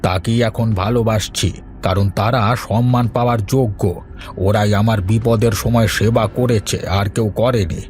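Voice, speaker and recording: Man voicing a deep-voiced, kindly older uncle. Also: fairly even intonation, moderate pace, a little mellow, close to an everyday voice. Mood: stern, protective. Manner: reassuring, firm, fatherly. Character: kindly, decisive older uncle, a grateful guest. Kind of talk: Bengali animated moral story acting.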